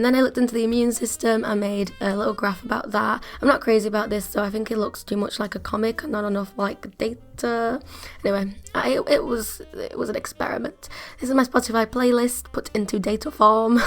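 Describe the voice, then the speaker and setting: A young woman with a croaky voice, chatting casually about her art school work. Her voice sits a little high, and she laughs often and pokes fun at herself. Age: 20s. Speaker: art student vlogger. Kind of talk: casual vlog narration.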